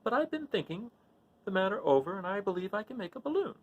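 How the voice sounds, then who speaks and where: A man acts out a little storybook wizard, talkative and grand. The voice sits high and swings widely, with an eager, explaining showman's manner. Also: big pitch swings, fast talker, rough recording. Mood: chatty, confident. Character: little old humbug wizard, male, chatty, grandly commanding. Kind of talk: storybook read-aloud character voice.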